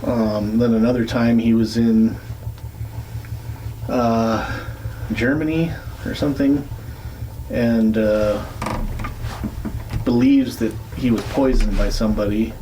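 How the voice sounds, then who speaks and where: A man's voice: mid-range voice, slow pace, long pauses, background noise. A man co-hosting a casual podcast, telling a long story with many fillers and restarts. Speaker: podcast co-host. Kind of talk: casual chat.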